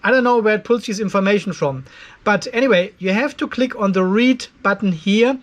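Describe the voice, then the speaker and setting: A man's voice: high voice, fairly quick. A man in his fifties giving a casual, conversational explainer, going step by step and openly critical. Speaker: host of an off-grid solar channel. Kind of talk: casual explainer vlog to camera. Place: cluttered garage workshop.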